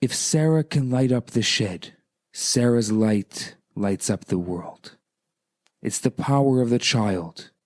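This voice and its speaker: Slow-paced man telling a story. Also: medium pitch. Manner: deliberate, emphatic, repeats phrases for effect. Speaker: rabbi, radio storyteller. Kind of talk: dramatic storytelling and inspirational address.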